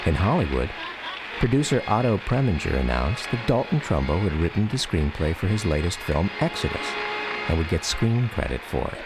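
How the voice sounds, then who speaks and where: An American man giving a measured, reflective first-person narration for a radio documentary drama. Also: low voice, big pitch swings, very noisy.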